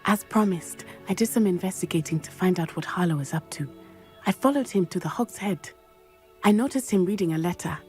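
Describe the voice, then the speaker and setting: A woman's voice: medium pitch, a little mellow, lightly acted. An earnest, courageous schoolgirl in a video game acted by a woman. She speaks with careful, formal phrasing, like someone who takes danger seriously. A little music sits underneath. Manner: measured, formal, careful wording. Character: earnest, brave teenage schoolgirl, cautious investigator. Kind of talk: video game cutscene acting.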